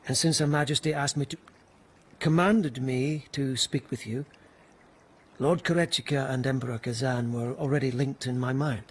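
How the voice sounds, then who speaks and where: An actor playing a weary but dogged police lieutenant in a radio period mystery, speaking hesitantly and with earnest respect. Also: male voice, mid-range voice, steady tone, moderate pace, slightly rough, natural voice. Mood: deferential, uneasy.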